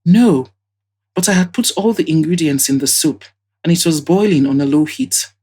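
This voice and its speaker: A woman's voice: low-pitched, normal speed, dark tone, breathy edge. A woman voicing a chatty, scornful female witness in an audio drama, telling things emphatically with indignant asides. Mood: earnest, recalling.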